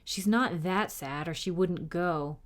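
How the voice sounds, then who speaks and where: A woman's voice: lowish voice, takes it slowly. A woman voicing a guarded, moody young schoolboy in a storybook reading, with a reluctant manner. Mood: sullen. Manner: muttering, reluctant.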